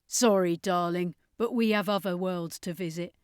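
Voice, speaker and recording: A woman's voice: fairly low pitch, normal speed, clear, resonant tone, dry recording, clean studio sound, close to an everyday voice. A woman voicing a worldly, affectionate circus heroine with a drawling manner in an audiobook. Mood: breezy. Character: warm, worldly circus woman who calls everyone darling.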